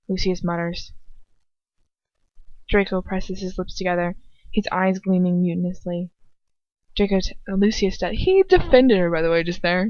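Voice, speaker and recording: A woman's voice: mid-range voice, a little mellow, no room sound, dull audio. A woman reading a story aloud as an amateur, expressively and informally, correcting herself and slipping into casual asides. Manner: informal, swears, self-correcting, jokey asides. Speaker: amateur fan fiction reader.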